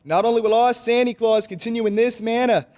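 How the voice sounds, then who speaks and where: A man's voice: very high-pitched, steady tone, fairly quick, darkish tone. A man playing a grand, enthusiastic department store owner in old-time radio drama, declaiming like a boss. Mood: commanding, pleased.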